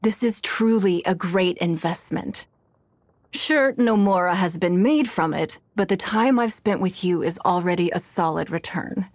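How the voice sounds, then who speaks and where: Slow-spoken, poised, shrewd noblewoman. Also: fairly low pitch, no room sound. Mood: cool, measured. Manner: composed, refined, deliberate, coolly authoritative. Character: poised, shrewd, wealthy woman leader, elegant and calculating. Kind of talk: video game character voice lines.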